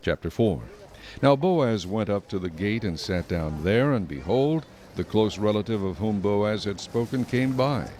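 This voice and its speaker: Low-voiced male scripture narrator. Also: animated, a little slow, darkish tone, a little hiss or hum.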